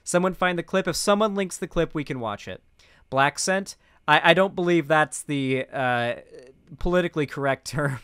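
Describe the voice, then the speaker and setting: A young American man with a slightly high voice reacts as a live-streamer. He is indignant and sarcastic, stumbling over words and swearing freely. The sound is close and fairly dry. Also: lively intonation, fairly bright tone, smooth, no echo. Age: 20s. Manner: indignant, sarcastic, halting, profane. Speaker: live-streamer, political commentator. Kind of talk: casual reaction commentary. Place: small home streaming room. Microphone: close boom mic.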